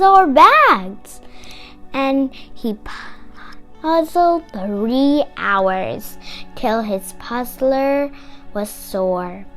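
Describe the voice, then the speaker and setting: Female children's storybook reader, expressive and deliberate, very unhurried, with faint music underneath. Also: high voice. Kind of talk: expressive children's read-aloud of rhyming story.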